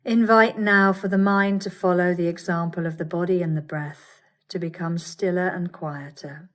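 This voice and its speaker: A woman teaching yoga nidra, guiding a meditation with soothing, measured instructions and gentle pauses. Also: lowish voice, fairly even intonation. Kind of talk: guided meditation.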